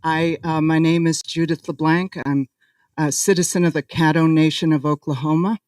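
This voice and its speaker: A woman's voice: deep voice, steady tone, normal speed, a little mellow, no room sound. A woman with a Boston accent, an organizing director giving an informal, friendly and self-teasing speech to a gathering. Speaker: organizing director speaking at ceremony. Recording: voice picked up from a distance.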